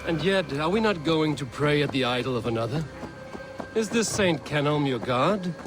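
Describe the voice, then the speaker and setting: An actor playing an honorable, plain-spoken Viking warrior in a video game, earnest and gently teasing. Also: male voice, fairly high pitch, lively intonation, moderate pace, music in the background, natural voice. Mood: wry. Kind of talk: dramatic medieval video game dialogue.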